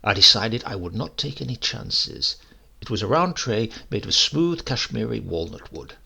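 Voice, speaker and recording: A man with a gravelly, husky voice narrating a humorous short story in a measured, theatrical, scripted style. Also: fairly low pitch, expressive, bright, forceful tone.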